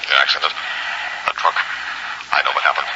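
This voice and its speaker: A man's voice: a bass voice, level tone, brisk. An actor playing a terrified dying man, breathless and anguished as he pleads for his life in radio melodrama style. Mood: stunned, fearful. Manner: breathless, pleading, anguished, swinging from whisper to cry. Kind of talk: old-time radio melodrama acting. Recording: noisy muffled vintage radio recording.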